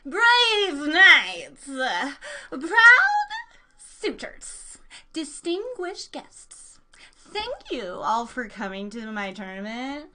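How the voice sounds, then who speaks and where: Casting: scheming mature queen, very high bright voice, theatrical and sarcastic, for comic radio drama. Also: huge pitch swings, very slow, exaggerated voice. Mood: grandly emotional. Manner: theatrical proclamations with snide asides. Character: haughty scheming older queen, falsely gracious, sarcastic mother. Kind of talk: comic radio play acting.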